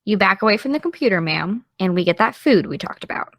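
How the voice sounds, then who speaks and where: A woman playing a caring, gently teasing young woman in an audio drama, speaking in a casual, slightly tentative way. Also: medium pitch, expressive, fast, dry recording. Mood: gently candid. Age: young adult. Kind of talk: naturalistic audio drama dialogue.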